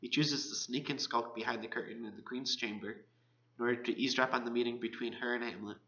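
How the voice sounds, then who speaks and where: Male college student reading a scripted literary presentation, forceful bright voice, dull lo-fi sound. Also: medium pitch, fairly even intonation, fast.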